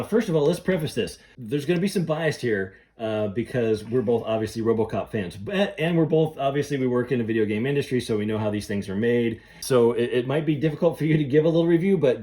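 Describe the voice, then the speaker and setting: A middle-aged man hosting a podcast, chatting casually and gushing like an excited fan. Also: medium pitch, fairly quick, hardly any pauses. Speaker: podcast host. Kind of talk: casual chat between film fans.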